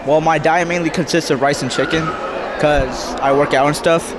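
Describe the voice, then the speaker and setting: A teenage boy gives a casual, hesitant answer in a slightly high voice. He speaks into a handheld mic in a school hallway, with a loud crowd and clear noise behind. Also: fairly even intonation, moderate pace.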